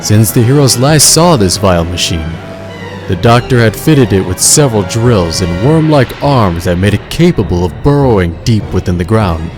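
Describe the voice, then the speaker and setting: Deep-voiced man narrating over music. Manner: measured, suspenseful. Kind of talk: scripted dramatic story narration. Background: loud music.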